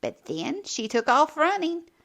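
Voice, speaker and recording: A woman hosting a children's storytime, reading aloud expressively with theatrical, deliberate phrasing. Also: high voice, sing-song, vocal fry, fairly bright tone. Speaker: children's storytime host and narrator.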